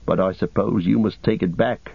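Loyal hobbit manservant, played by a male reader, humble, homely, practical, husky, in a dull storybook recording. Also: fairly low pitch, normal speed, no echo. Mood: gentle, reluctant. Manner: deferential, homely rustic phrasing.

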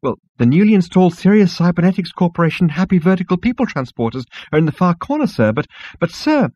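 Casting: fragile insect receptionist, high swooping squeal, prissy and petulant, comic audiobook. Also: male voice, sing-song, fast talker, darkish tone, dry recording, muffled recording. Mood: flustered. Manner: prissy, snippy, fussily polite.

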